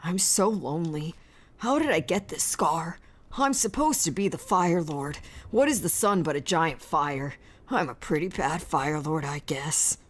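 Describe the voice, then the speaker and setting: Woman doing a husky, low, mopey young prince. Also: expressive, unhurried. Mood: gloomy. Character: mopey, self-pitying young prince, mocking impression.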